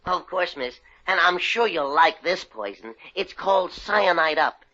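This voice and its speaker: An actor playing a joking, quick-witted former showman working a soda counter in a 1950s radio drama. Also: on the high side, lively intonation, bright, forceful tone. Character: wisecracking ex-showman soda jerk. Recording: dull old radio recording.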